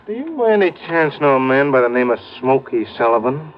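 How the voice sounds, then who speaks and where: A man plays a cagey racketeer in a classic radio crime show. He is oily and formally polite, choosing words with guarded pauses. The recording is dull and dated. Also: medium pitch, sing-song, a little hiss or hum, close to an everyday voice. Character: smooth, cagey male crime boss fronting as lender. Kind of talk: 1940s-50s radio crime acting. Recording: muffled old radio recording.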